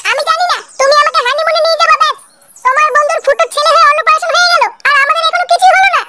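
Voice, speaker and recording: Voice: very high voice, fairly even intonation, racing, heavy background noise. A performer voicing a nagging, pleading young wife in a crude comic cartoon, with whining, insistent complaints. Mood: nagging, angry.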